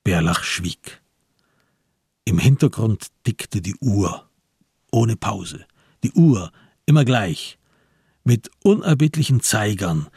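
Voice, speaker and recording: A man narrating a radio crime drama in a measured, grave, literary storytelling style. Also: lowish voice, darkish tone, breathy edge, no echo, studio quality.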